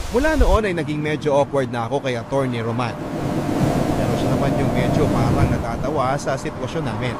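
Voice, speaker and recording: A man's voice: mid-range voice, heavy background noise. A man narrating a personal letter in the first person, as a dramatic storyteller. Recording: loud music under the voice.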